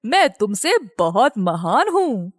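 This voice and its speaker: A woman's voice: medium pitch, sing-song, slow pace, dry recording, studio quality. A woman voicing an arrogant male war horse in a children's animal story. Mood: boastful, mocking.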